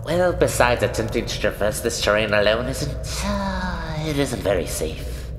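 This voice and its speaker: Bookish, pompous hermit for radio play; extremely deep, swooping delivery in a cavernous echo. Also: female voice, sing-song, unhurried, heavily acted. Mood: eager, nervous. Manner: wordy, mannered, pompous phrasing. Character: fussy, talkative, bookish recluse of a dank cavern. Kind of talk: amateur radio play acting. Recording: echoey, noisy, long reverb.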